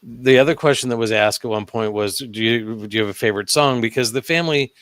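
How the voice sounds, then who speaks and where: A man talking as a guest investigator in a casual podcast chat, telling stories in a relaxed way with hesitant pauses. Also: medium pitch, no echo. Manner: relaxed storytelling with hesitant ums.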